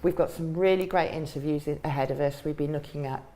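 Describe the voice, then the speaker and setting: A woman hosting and opening an online chat show, relaxed and a little rambling as she talks. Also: low-pitched, fast talker. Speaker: host, show founder. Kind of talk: casual live-stream chat.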